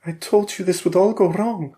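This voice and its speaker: Suspicious worried wife, man doing a high voice, pressing questions, storybook reading. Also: fairly even intonation, moderate pace, dark tone, close to an everyday voice. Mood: resigned. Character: anxious suspicious Russian wife.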